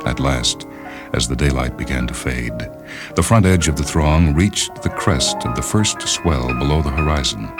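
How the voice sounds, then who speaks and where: Man, extremely low bass voice, formal storytelling, deliberate delivery, radio host reading fiction, 1970s radio recording, music underneath. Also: lively intonation. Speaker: host and reader of a radio short-story program. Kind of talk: scripted literary narration. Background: music bed behind the reading.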